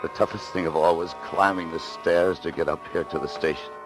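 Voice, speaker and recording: A man's voice: low-pitched, steady tone, a little fast, lightly acted. An actor playing a desperate, wounded man on the run, telling his story intensely in a 1940s radio thriller. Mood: pained, weary. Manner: intense, hard-boiled. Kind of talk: 1940s radio thriller acting, narration and dialogue. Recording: remastered 1940s radio, music behind.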